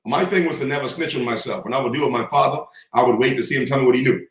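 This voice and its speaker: A man's voice: medium pitch, very fast, fairly bright tone, roomy sound. A man from the Bahamas, a guest telling stories with confident, preacher-like cadence. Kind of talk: storytelling in a conversation.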